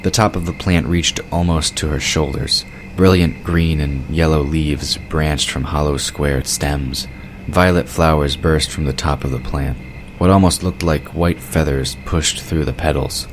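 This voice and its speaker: A man's voice: a bass voice, fairly even intonation, unhurried. A man reading scripted third-person narration for a fantasy audio drama. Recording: clear background noise of night crickets.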